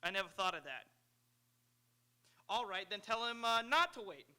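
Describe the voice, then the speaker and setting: Radio comedy sidekick male actor: piercing high voice, put-on Italian accent, broken English, cheeky schemer with a literal mind. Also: lively intonation, normal speed, pushed and bright, clean tone, heavily acted. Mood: eager, dim. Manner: wisecracking, literal-minded. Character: cheeky, dim-witted comic male office assistant, wisecracking schemer. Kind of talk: 1930s comedy radio play acting. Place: auditorium stage. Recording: live stage radio play.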